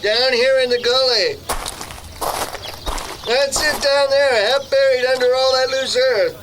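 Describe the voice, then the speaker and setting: Very high-pitched, excitable ranger played by a man. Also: a little slow. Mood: warning. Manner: folksy, calling out. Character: excitable, plain-spoken male forest ranger. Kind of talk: stage-style radio drama acting. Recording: noisy radio play recording.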